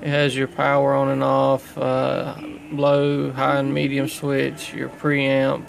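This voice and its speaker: A man walks through his equipment in a casual, unscripted way. His delivery is slow and halting, with a very flat pitch. There is a gravelly creak in his plain-spoken voice. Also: a little hiss or hum. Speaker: radio hobbyist showing his equipment. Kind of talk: casual unscripted gear review. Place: small indoor room at home.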